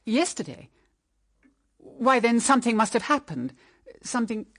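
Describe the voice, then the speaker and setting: An actress playing an anxious older British mother-in-law in a period radio drama, earnest and halting, with a solemn, pleading way of speaking. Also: a little high, sing-song. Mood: flustered, evasive.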